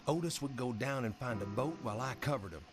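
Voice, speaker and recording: Man acting as somber zombie survivor over loud music. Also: mid-range voice, expressive, quick pace, natural voice. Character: weary male zombie apocalypse survivor narrating his journey. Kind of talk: scripted first-person game cutscene narration.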